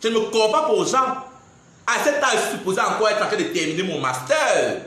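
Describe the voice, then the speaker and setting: Young man, twenties, Cameroonian French accent, high-pitched, indignant mocking rant, very echoey room. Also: sing-song, brisk, pushed and bright. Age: 20s. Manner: indignant, mocking, laughs between jabs. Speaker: social media personality. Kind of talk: ranting live video monologue.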